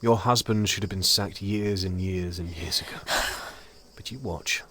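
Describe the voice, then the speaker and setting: Wry, understated middle-aged doctor. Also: male voice, low voice, a little slow, pushed and bright, a little hiss or hum. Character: weary, detached male doctor of fifty-five. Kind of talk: audio drama acting.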